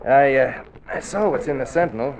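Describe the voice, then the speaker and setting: An actor playing a sly, cunning, self-satisfied gang boss in an old radio crime drama. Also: male voice, medium pitch, a little fast, natural voice. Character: smug, cunning male gang boss in hiding. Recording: muffled radio recording, crowd noise behind.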